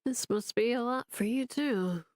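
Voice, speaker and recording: A woman's voice: a little high, measured pace, darkish tone, no room sound, lightly acted. A woman voicing a weary young man who talks in a tired, dry, downbeat way.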